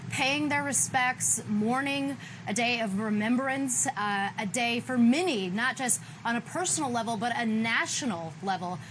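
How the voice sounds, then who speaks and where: A woman giving a measured, solemn live news report from outdoors. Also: fairly high pitch. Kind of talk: live broadcast field reporting. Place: outdoors on a city street. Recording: outdoor live report, loud ambient noise.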